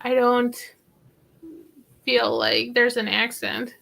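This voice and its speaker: Chatty woman host with creaky voice. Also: fairly high pitch, level tone, takes it slowly, fairly bright tone. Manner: rambling, heavy use of "like" fillers. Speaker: host of a vintage thrifting channel. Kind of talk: casual livestream chat.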